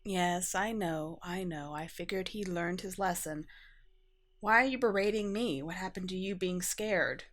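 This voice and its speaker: A woman acts as an exasperated young female vampire in an ASMR roleplay. Her voice sits a little low, and she talks in a halting, defensive way with sarcastic asides, caring underneath the irritation. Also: fairly bright tone. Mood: flustered, defensive. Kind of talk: ASMR roleplay acting, conversational.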